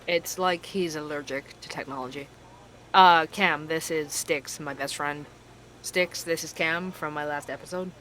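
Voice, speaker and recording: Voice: medium pitch, steady tone, unhurried, bright, forceful tone, slight background noise. An actor playing an inquisitive young podcast host who investigates mysteries, in an audio drama. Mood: wry, friendly. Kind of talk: audio drama dialogue and narration.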